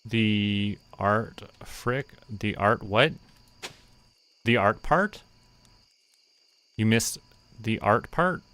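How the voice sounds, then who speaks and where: Slow, low-voiced man muttering while gaming. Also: expressive, fairly bright tone. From Canada. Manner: muttering, counting to himself while planning. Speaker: streamer. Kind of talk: relaxed gameplay commentary.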